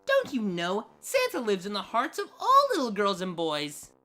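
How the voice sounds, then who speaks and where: A woman playing a teasing young housemate in a dramatized cartoon fan story, with a playful, casual, expressive delivery. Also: mid-range voice, moderate pace. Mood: gleeful. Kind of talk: dramatized fan fiction audio reading. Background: background music.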